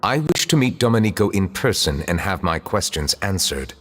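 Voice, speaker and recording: A man voicing a solemn, formal and measured chief justice in a video game. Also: sits low, big pitch swings, a bit bright, slight background noise.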